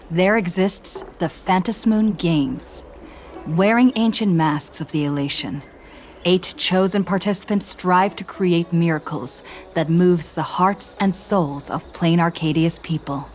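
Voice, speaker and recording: A woman voicing a young female traveler in a game, narrating a scripted story recap with a measured, even delivery. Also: on the low side, natural voice. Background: faint background music.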